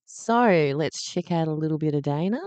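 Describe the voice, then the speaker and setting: A woman's voice: sits low, expressive, moderate pace, darkish tone, no room sound. A woman chatting wryly as she hosts and comments on clips. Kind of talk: casual commentary vlog.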